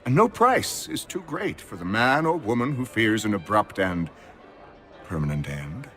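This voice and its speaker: An actor playing a cynical, mercenary colonial physician in a video game, arguing in a theatrical, emphatic, rhetorical way. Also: male voice, lowish voice, very animated, measured pace, bright, forceful tone, faint music behind, exaggerated voice. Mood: sly, frank. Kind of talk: video game cutscene acting.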